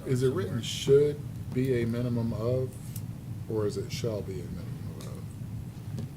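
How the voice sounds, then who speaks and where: A man's voice: medium pitch, unhurried, background noise. A man on a planning commission, musing through ideas with a self-deprecating touch at a public meeting.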